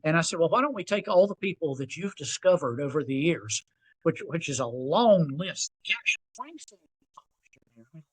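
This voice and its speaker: A man in his sixties with a Southern US accent, a podcast guest telling stories in an animated, self-deprecating way. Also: on the high side, normal speed, slightly rough, poor audio. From North Carolina. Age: 60s. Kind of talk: storytelling interview.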